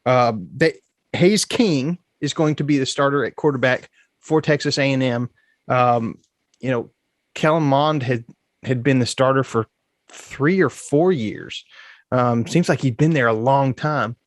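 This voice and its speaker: A man hosting a casual sports podcast, his voice slightly congested, talking things through in a relaxed way. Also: medium pitch, measured pace, no room sound, clean studio sound. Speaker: podcast host.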